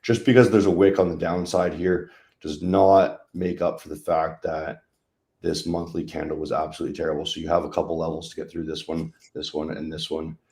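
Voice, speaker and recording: A man's voice: low voice, little pitch movement, brisk, a little mellow, roomy sound. A man hosting a casual trading livestream, chatting loosely over charts with plenty of fillers. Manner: laid-back, chatty, many fillers.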